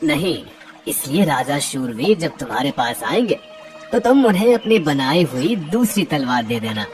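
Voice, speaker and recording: A man voicing a calm, kindly god in a cartoon, measured and reassuring. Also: fairly high pitch, soft background music.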